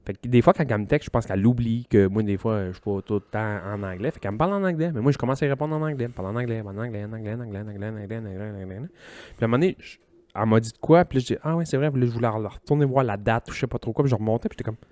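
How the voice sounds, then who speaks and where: Québécois male podcast co-host, low-pitched, joking, self-mocking, dry close mic. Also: racing, darkish tone, no echo. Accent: Québécois French. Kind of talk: casual chat.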